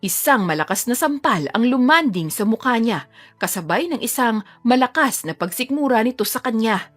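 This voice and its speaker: A woman's voice: a little high, animated, moderate pace, soft background music. A woman reading scripted narration for a dramatic audio story.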